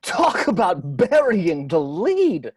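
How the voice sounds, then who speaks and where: A man's voice: sits high, huge pitch swings, slightly rough, no room sound, studio quality, full character voice. A man playing a spoiled, theatrical, volatile crime boss in an amateur table read over a video call. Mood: gloating. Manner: theatrical, drawn-out, shrieking when crossed.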